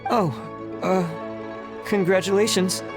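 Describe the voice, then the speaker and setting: A man's voice: high voice, fairly even intonation, takes it slowly, music in the background. A man playing a meek, reliable candidate in a video game, with a mild and hesitant delivery. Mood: timid.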